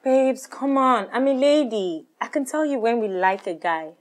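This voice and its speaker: A jealous young girlfriend with a Nigerian accent, high-pitched and swooping in tone, as a woman plays her. She presses her point with insistent, animated talk. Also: normal speed, close to an everyday voice. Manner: pleading then mocking, lively.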